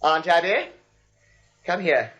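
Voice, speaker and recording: An actor playing a frantic, exasperated writer, stammering and emphatic, in 1940s radio comedy style. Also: male voice, a little high, very animated, slow pace, noisy background. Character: frantic, exasperated male writer in a mad family. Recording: dull old radio recording.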